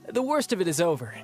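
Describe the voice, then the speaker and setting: A cocky young male warrior with a fairly high, boyish voice. He speaks in a breezy, wry, informal way, in polished anime game style with faint music behind him.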